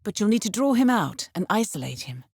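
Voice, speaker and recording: A woman playing a composed, dry-witted intelligence handler in a video game, speaking in a measured, formal, understated way as she briefs an assassin. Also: medium pitch, sing-song, creaky, darkish tone, husky, no room sound, full character voice. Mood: poised, instructive. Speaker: mission handler. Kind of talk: scripted video game voice acting, mission briefing.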